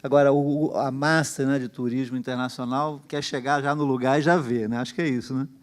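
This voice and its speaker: A man's voice: medium pitch, steady tone, a little fast. A man hosting a public panel, introducing guests and inviting questions from the audience in a conversational way. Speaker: panel host.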